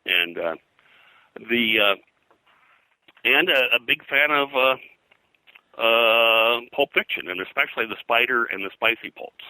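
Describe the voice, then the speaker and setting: An older man being interviewed as a historian, telling anecdotes in a halting, rambling way and often clearing his throat. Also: medium pitch, sharp tone, no echo, rough recording. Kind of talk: anecdotal interview talk about old-time radio and pulps.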